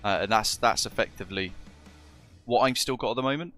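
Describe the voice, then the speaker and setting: A British man streaming as a virtual ground controller, chatting casually with viewers and speaking radio calls, his voice a bit husky and chesty from a lingering virus. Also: on the low side, a little fast, a bit bright, soft background music. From Britain. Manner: chatty, greets viewers as matey. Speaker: streamer acting as virtual air traffic controller. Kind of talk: live stream chat and radio phraseology.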